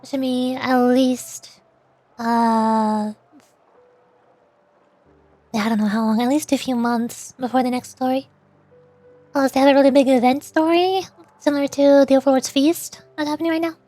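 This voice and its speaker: A woman's voice: high-pitched, steady tone, normal speed, long pauses, mellow, no echo. A woman livestreamer chatting casually and playfully about games in a rambling, informal way. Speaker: VTuber streamer.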